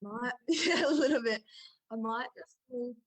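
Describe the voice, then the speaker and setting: A woman from Australia, a guest on a video podcast, chatting in a relaxed, giggly way over a video call. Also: a little high, measured pace. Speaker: guest fighter. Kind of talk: casual interview chat.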